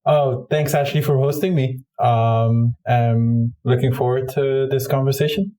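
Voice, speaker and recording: A man's voice: mid-range voice, steady tone, a little slow, dark tone. A man from Egypt, explaining his work as an interview guest in a hesitant, self-correcting way. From Cairo, Egypt. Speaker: product manager, podcast guest. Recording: roomy echo, distant from mic.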